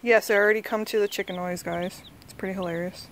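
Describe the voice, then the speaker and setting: Gravelly-voiced woman chatting in a backyard. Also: mid-range voice, steady tone, fast, slight background noise. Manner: chatty, coaxing pets in a sing-song. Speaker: vlogger. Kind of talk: casual chat. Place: backyard lawn outdoors. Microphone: handheld phone camera.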